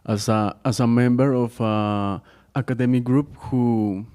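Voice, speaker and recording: A man's voice: medium pitch, steady tone, measured pace, warm tone, clear, resonant tone. A man from Colombia, an academic asking a question from the audience, searching for words with many fillers. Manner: searching, filler-laden, careful. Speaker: audience member, academic researcher. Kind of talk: question from the floor. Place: conference room.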